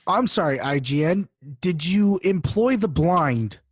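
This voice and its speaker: A man's voice: sits high, animated, a little slow, slightly rough, no echo, studio quality. A man co-hosting a podcast in casual chat, reacting sarcastically and repeating phrases for emphasis. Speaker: podcast co-host.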